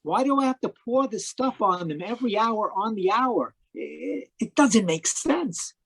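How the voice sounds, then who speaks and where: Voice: medium pitch, expressive, a little slow, gravelly, slightly rough, exaggerated voice, rough recording. An actor playing a confused, deferential boy who helps in the garden, hesitant and questioning, in old-time radio drama style. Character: tired, confused, deferential young male garden helper. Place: live video call performance. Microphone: video call microphone.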